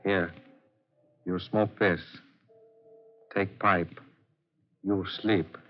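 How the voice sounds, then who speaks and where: An actor playing a polite, coaxing Chinese opium den keeper, speaking broken English with a stylised Chinese accent in 1940s radio style. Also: male voice, low-pitched, steady tone, extremely slow, faint music behind, heavily acted. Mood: soothing, insistent. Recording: dull, noisy 1940s radio recording.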